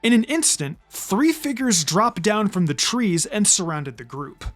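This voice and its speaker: Story narrator, expressive reading, varied intonation, mid pitch, background music. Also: measured pace. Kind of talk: expressive fan fiction reading.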